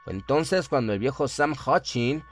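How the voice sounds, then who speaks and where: A man's voice: medium pitch, lively intonation, measured pace, soft background music. A man narrating a scripted horror story in a solemn, dramatic storytelling manner.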